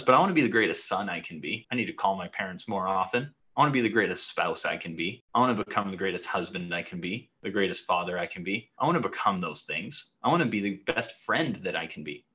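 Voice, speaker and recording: Blunt, bright-voiced male motivational speaker. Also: on the low side, dull audio. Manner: blunt, casual, swears freely. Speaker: podcast host and coach. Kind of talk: motivational monologue.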